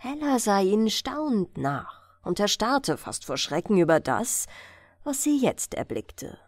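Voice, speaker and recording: Slow, expressive woman reading a fairy tale. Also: mid-range voice, no echo, clean studio sound. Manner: measured, expressive storytelling. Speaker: audiobook narrator. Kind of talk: scripted bedtime fairy-tale narration.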